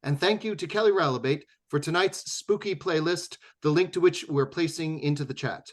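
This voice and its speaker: A man's voice: on the high side, normal speed. A man hosting a radio drama show, reading scripted closing announcements in a formal, theatrical old-time radio manner.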